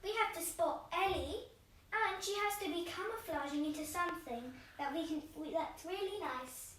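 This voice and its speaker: A woman's voice: very high-pitched, normal speed, a bit bright. A young girl telling an improvised, playful puppet-show story with toys. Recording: roomy, distant mic, faint noise.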